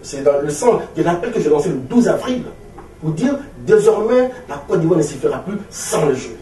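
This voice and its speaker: Ivorian guy in his 40s doing a political interview, emphatic, repeats himself, mellow voice, bit far from the mic. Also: a little high, big pitch swings, moderate pace. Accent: Ivorian French. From Ivory Coast. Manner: emphatic, rhetorical, repeating phrases. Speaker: opposition political party leader being interviewed. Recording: roomy, distant mic with faint noise.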